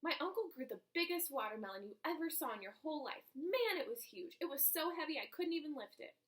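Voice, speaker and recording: A woman voicing an excitable, chatty schoolboy in a storybook, eager and emphatic, always rambling. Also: high voice, fairly quick, pitched above the performer's own voice. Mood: eager, boastful.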